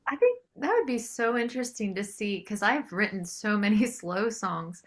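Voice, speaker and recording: A woman's voice: mid-range voice, expressive, normal speed, a crowd in the background. A woman chatting casually as an interview guest, appreciative and agreeable in manner. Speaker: singer-songwriter guest.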